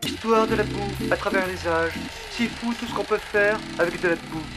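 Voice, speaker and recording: An earnest, rambling cartoon documentary presenter, voiced by a woman. The voice sits at an ordinary pitch, dully didactic, over very loud music. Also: moderate pace, lightly acted. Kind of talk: documentary narration parody.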